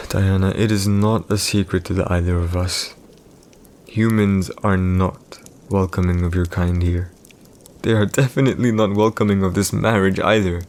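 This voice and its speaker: A man's voice: low-pitched, fairly even intonation, normal speed, darkish tone, slight background noise. A man playing a haughty, teasing villain emperor in an ASMR audio roleplay, with formal, condescending, old-fashioned diction. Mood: earnest, solemn.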